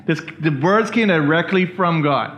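A man's voice: on the high side, animated, normal speed, fairly bright tone, a little hiss or hum. A man from the Bahamas, teaching a church Bible study and often checking in with his listeners. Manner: keeps checking in with listeners, "all right?". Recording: dull lo-fi recording.

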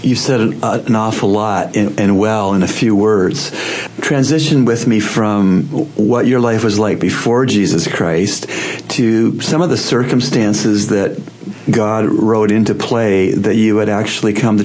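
A man hosting a radio program, interviewing a guest in the studio in a gentle, encouraging way. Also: fairly low pitch, a little slow, low-quality recording. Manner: gentle, encouraging, affirming with mm-hmms.

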